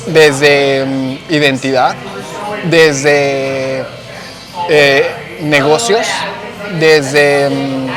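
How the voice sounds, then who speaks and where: A Mexican man with a slightly raised voice talks in a lively, slangy way. He stops often to hunt for words, filling the gaps with ums and ehs. Clear music plays underneath the whole conversation. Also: fairly high pitch. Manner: animated, slangy, searches for words with many fillers. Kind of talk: casual conversational chat.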